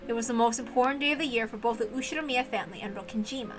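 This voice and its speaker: A woman reading a visual novel aloud as expressive story narration. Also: a little high, rapid-fire, music in the background.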